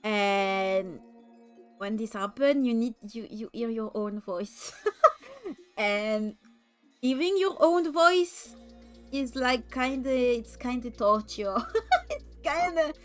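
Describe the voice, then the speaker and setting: A woman's voice: fairly high pitch, sing-song, slow pace, pauses often, faint music behind. A woman with a French accent, a native French speaker, chatting casually as a streamer, giggling often and stumbling over repeated words. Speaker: VTuber streamer.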